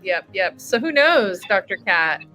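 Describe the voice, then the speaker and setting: A woman's voice: medium pitch, very animated, measured pace, pushed and bright, faint music behind. A woman hosting a webinar in casual chat over a video call, bubbly and laughing often. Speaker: webinar host.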